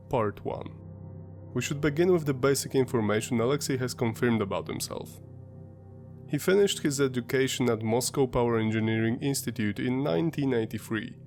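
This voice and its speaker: Brief: male host, stuffy sinus-congested voice, mid-pitched, measured documentary read, faint music underneath. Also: darkish tone. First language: Polish. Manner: measured, explanatory storytelling. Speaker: history channel host. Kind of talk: scripted documentary narration.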